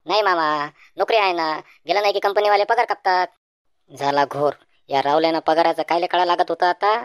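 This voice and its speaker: A woman's voice: lowish voice, fairly quick, clear, resonant tone, dry recording, clean studio sound, lightly acted. A woman voicing a wry, wary young man in a village cartoon, speaking with a Varhadi Marathi accent. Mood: evasive. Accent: Varhadi Marathi (Vidarbha). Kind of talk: comic village cartoon dialogue.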